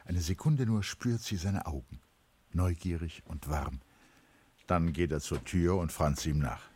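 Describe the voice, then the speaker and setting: A man giving measured, literary narration for a radio play. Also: deep voice, dark tone, husky, dry recording.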